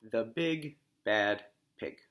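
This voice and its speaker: A man reading a story aloud as a teacher, phrasing it deliberately and simply for children and pausing to ask comprehension questions. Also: lowish voice, lively intonation, a bit bright.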